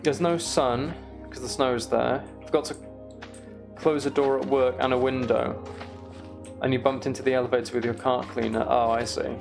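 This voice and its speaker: Man chatting casually with creaky voice. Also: medium pitch, flat delivery, over music. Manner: unhurried, thinking aloud while playing. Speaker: streamer. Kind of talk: casual gaming livestream chat.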